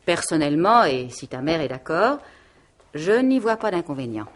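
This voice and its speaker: An actress playing a domineering, cold aunt in a radio mystery play, with an imperious, cutting and sarcastic manner. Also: female voice, low-pitched, very animated, normal speed, crowd noise. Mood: icy sarcasm. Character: domineering, cold aunt who rules the household.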